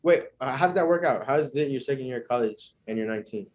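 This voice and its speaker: A young man with an average-pitched voice, hosting a relaxed interview chat. His talk is informal and affirming, loosely phrased and conversational. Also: quick pace, a little hiss or hum. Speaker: podcast host, interviewer.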